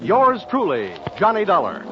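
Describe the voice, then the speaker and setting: Vintage male announcer: high, very lively, theatrical, over loud music on dull radio sound. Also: normal speed. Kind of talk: 1940s radio show introduction.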